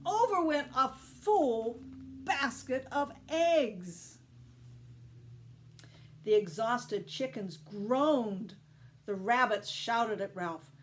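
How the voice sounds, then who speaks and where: A woman reading a children's story aloud with expressive, deliberate emphasis. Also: a little high, slight background noise. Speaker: storytime reader, teacher.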